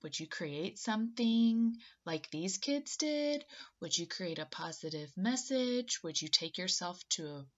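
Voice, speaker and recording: A woman in her thirties with a very lively, swooping delivery, talking children through a picture book. She takes her time, leaning on key words and pausing before prompting with questions. The sound is slightly dull, picked up by a webcam in a room at home. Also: fairly low pitch. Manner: expressive, dramatic pauses and emphasis, prompting. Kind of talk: animated read-aloud with questions to young listeners.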